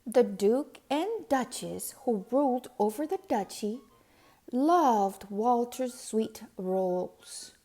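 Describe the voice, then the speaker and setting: A woman reading a children's picture book aloud as a storyteller, with deliberate, measured phrasing. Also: fairly high pitch, expressive, warm tone.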